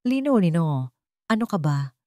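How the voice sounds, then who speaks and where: Woman as fond, chiding cafe owner, mellow voice. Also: fairly low pitch, big pitch swings, normal speed, dry recording, clean studio sound. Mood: playful scolding. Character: warm-hearted, playfully scolding woman who runs a cafe.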